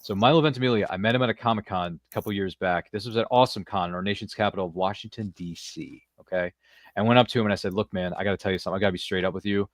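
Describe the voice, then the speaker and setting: A man with an American accent and a slightly low voice hosts a casual interview. He talks very quickly in a chatty, rambling way, recorded dry and close. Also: no room sound. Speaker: podcast host.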